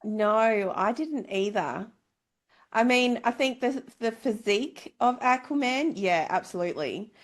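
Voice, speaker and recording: A woman's voice: a little high, expressive, a little slow. A woman co-hosting a book club podcast, chatting informally and with emphasis. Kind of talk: casual chat about books.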